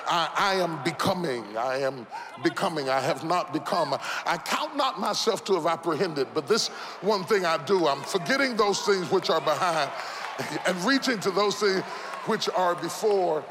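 A man in his fifties preaching an impassioned sermon in a church, repeating phrases and building to shouted peaks. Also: fairly high pitch, lively intonation, normal speed, background noise. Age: 50s. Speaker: pastor. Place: church sanctuary. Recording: long reverb of a large hall.

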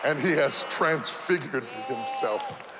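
A man in his fifties preaching an impassioned sermon in a church, repeating phrases and building to shouted peaks. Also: mid-range voice, animated, takes it slowly, crowd noise. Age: 50s. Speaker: pastor. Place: church sanctuary. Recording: long reverb of a large hall.

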